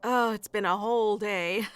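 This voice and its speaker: A woman playing a sweet, flustered florist secretly in love with a best friend, in an intimate audio roleplay with a hesitant, soft-hearted manner. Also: medium pitch, measured pace, smooth, no echo, close to an everyday voice. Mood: friendly, teasing. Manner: hesitant, soft-hearted, breaking into nervous chuckles and sighs. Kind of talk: intimate ASMR roleplay acting.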